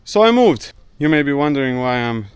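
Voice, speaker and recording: A man's voice: medium pitch, sing-song, a little fast, smooth, no echo. A man whose first language is Croatian, speaking English in a casual vlog as he works around a rural summer house. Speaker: vlogger. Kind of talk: casual vlog narration while doing chores. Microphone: action camera.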